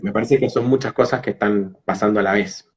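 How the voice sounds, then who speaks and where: Male interviewee, Rioplatense Argentine teacher. Slightly low, husky voice, didactic explanatory delivery. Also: fairly even intonation, fairly quick. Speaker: English teacher and phonetics specialist being interviewed.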